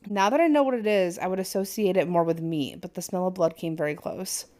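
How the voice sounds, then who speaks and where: A woman's voice: fairly low pitch, brisk, darkish tone. A woman co-hosting a casual podcast, reading an online post aloud with little asides and stumbles. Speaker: podcast co-host.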